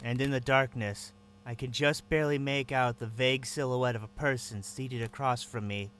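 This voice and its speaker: A man's voice: medium pitch, steady tone, normal speed, fairly bright tone, a little hiss or hum. A man narrating in first person as a captive, with a tense, wary inner voice.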